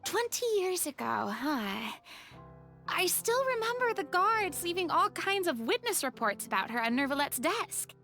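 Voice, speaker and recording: An actress voicing a perky, kindly little woman in a video game, expressive and outspoken. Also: very high voice, moderate pace, exaggerated voice. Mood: surprised, musing. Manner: expressive, opinionated. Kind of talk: scripted game dialogue. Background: game music.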